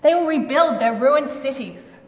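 A woman performs the commanding voice of God in a dramatic Bible reading. The delivery is declamatory and stern, pitched a little high. Long hall reverb trails the words. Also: animated, a little slow. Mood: resolute, promising.

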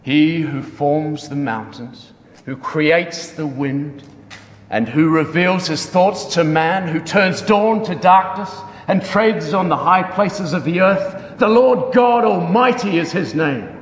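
A man's voice: on the high side, slow pace, slight background noise. Man performing stern God's prophet in echoing hall. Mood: wrathful, grave. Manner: solemn, declamatory, emphatic. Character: stern, wrathful God of Israel speaking through a prophet. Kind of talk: dramatic scripture reading. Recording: echoey hall with long reverb.

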